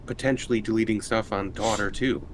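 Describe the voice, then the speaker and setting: A man's voice: lowish voice, steady tone, brisk. A man taking part in a tabletop roleplaying game as a player, chatting casually in and out of character. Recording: faint background noise.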